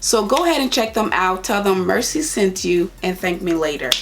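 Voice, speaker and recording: A woman's voice: medium pitch, expressive, normal speed, background noise. A young adult woman with a Liberian accent, chatting casually and directly to her viewers as a vlogger. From Liberia, raised partly in Ivory Coast. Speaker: vlogger answering viewer questions. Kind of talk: casual chat to camera.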